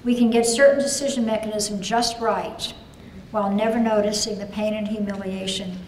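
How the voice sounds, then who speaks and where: A woman's voice: mid-range voice, steady tone, moderate pace, a little mellow. An older woman giving a keynote lecture and answering audience questions, thoughtful and hesitant with many fillers. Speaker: keynote speaker. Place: large conference hall. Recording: echoey hall, voice from a distance, noisy.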